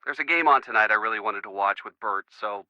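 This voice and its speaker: A man's voice: fairly low pitch, quick pace, pushed and bright, no room sound, highs cut off. An actor playing an easygoing young American boyfriend in a video game, teasing and wryly sarcastic in his phone dialogue. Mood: playful. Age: young adult. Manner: wry, playful sarcasm.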